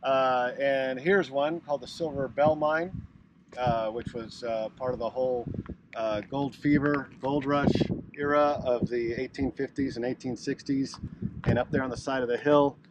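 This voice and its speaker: A middle-aged American man hosting a travel vlog outdoors, giving casual, halting tour commentary to a camera a few feet away. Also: mid-range voice, steady tone. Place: outdoors in open desert. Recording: outdoor camera audio with clear background noise.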